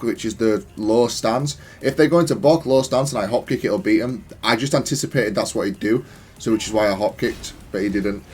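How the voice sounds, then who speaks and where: A man's voice: on the low side, darkish tone, slight background noise. A man giving casual, analytical gameplay commentary in a matter-of-fact, self-critical way. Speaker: gaming content creator.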